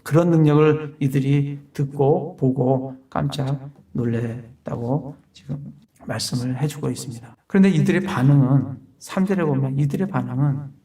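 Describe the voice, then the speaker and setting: Korean pastor explaining a bible passage verse by verse, mellow voice, mic kinda far off. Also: male voice, medium pitch, normal speed. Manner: explains verse by verse, asks rhetorical questions. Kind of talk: sermon.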